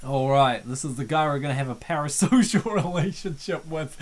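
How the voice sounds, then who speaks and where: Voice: medium pitch, a little fast. A let's-play host giving casual, chatty commentary and reading the on-screen game text aloud.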